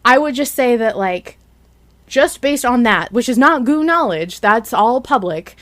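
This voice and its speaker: A woman hosting a fan podcast, chatting excitedly in an emphatic, gushing, halting way. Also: fairly high pitch. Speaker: podcast host.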